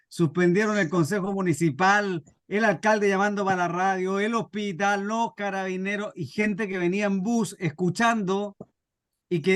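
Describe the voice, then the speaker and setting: Higher-pitched Chilean man telling anecdotes. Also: no room sound, clean studio sound. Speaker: radio drama scriptwriter and workshop speaker. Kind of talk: informal introduction and storytelling to a group.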